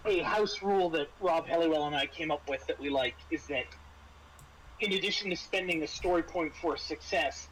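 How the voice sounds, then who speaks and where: Halting man running a tabletop game. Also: a little high, fairly bright tone, a little hiss or hum, low-quality recording. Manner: halting, repeats words and phrases. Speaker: game master of a tabletop horror RPG. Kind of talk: casual game narration and rules explaining.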